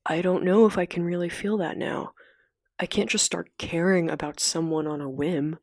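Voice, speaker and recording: A woman's voice: on the low side, fairly even intonation, moderate pace, dry recording, clean studio sound. A woman voicing a modest, doubtful teenage boy in an audiobook, with a hesitant, sheepish manner. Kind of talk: audiobook character acting.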